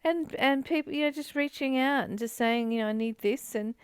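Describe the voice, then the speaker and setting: A woman's voice: sits high, a little fast, clean tone, no echo. A woman in a reflective spiritual discussion, speaking in a personal, conversational way to a partner. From Australia.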